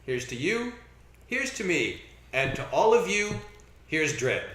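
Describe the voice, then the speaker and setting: Bright-voiced guy running a tabletop game, playful, echoey room. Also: on the high side, very animated, unhurried. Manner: playful, easygoing storyteller. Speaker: game master. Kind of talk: live tabletop game narration. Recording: roomy echo.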